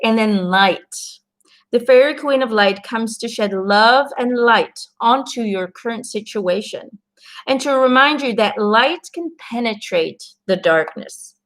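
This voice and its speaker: A woman with a Belgian accent and a slightly raised pitch, speaking English as a second language after Dutch. She talks to her viewers in an earnest, encouraging way, often restarting and filling her sentences with "you know" and "um" as she gives an intuitive card reading. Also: lively intonation, unhurried, fairly bright tone.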